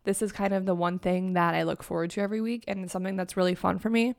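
A woman's voice: medium pitch, fairly even intonation, fast, vocal fry. Sleepy-voiced young woman podcaster. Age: young adult. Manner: chatty, upbeat, heavy use of "like". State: sleepy morning voice. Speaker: podcast host. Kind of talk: casual solo podcast talk. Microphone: own microphone and laptop.

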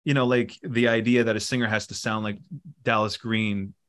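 Low-voiced man rambling about studio work. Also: normal speed. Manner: relaxed, rambling, with many fillers. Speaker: musician and producer guest. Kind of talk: casual interview talk.

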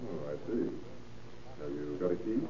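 Tinny radio, deep-voiced insistent detective. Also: male voice, crowd noise. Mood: probing. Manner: deliberate, probing, coolly polite. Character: calm, insistent male police detective, politely pressing. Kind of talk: 1930s-40s radio crime drama acting. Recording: old tinny radio recording with noise.

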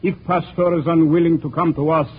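A man's voice: on the high side, fairly even intonation, a little fast, husky. A man playing a solemn foreign envoy addressing an assembly with formal oratory in an old-time radio drama. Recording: tinny old radio recording, faint noise.

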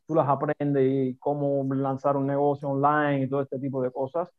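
A man's voice: medium pitch, completely flat delivery, normal speed. A man from Cuba with a Cuban accent, a guest in a conversational interview, speaking animatedly in repeated short phrases. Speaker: podcast guest, entrepreneur. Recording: tinny phone-like line.

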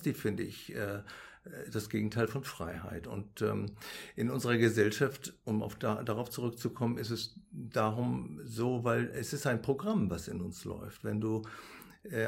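A man's voice: mid-range voice, creaky, a little mellow, slightly rough. A middle-aged man, a guest in an interview, explaining his views on money, happiness and spirituality, often tagging sentences with "ne?". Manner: explains with frequent "ne?" tags.

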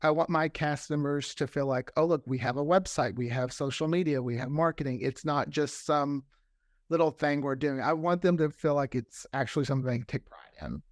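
A man's voice: on the high side, a little fast, no room sound, clean studio sound. An American man talking casually as an interview guest, chatty and anecdotal, often quoting conversations. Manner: chatty, anecdotal, acts out quoted dialogue. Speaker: interview guest, fiction podcast creator.

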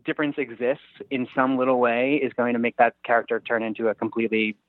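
A man's voice: medium pitch, level tone, fast, dry recording. Man on a thin phone-like line. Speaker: guest screenwriter. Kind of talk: casual interview chat.

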